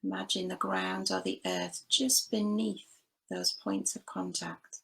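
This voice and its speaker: A woman leading a guided body scan meditation, giving gentle, unhurried instructions with long pauses between phrases. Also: mid-range voice, big pitch swings, creaky. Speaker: meditation teacher.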